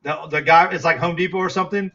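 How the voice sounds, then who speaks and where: Middle-aged Texan man, livestream host, rambling and chatty, slightly high bright voice. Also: fairly even intonation, fast talker, highs cut off. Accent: Texas. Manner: rambling, chatty, full of fillers and restarts. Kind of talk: casual livestream chat.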